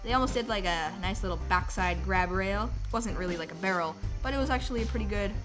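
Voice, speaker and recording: A young woman vlogger with a slightly raspy, stuffy-nosed voice, chatting in a loose, easygoing way full of fillers. Music plays clearly behind her. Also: mid-range voice, fast. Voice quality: a bit raspy. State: slightly sick, sore throat, stuffy nose. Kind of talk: casual vlog voiceover and to-camera talk.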